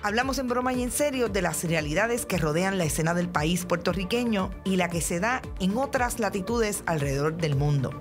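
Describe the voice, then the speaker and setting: A woman's voice: mid-range voice, animated, moderate pace, few pauses, music in the background. A woman reading a scripted radio promo as the announcer for a talk show.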